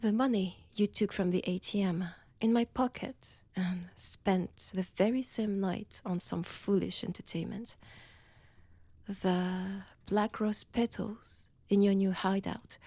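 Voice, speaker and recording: A seductive female vampire with a French accent, acted by a woman, purring threats to her prey in an intimate audio drama. The voice is breathy and often sinks toward a whisper. Delivery is very unhurried, with teasing, menacing pauses, and the sound is close and dry. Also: medium pitch, mellow, no echo, exaggerated voice. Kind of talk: intimate audio roleplay, addressed to the listener.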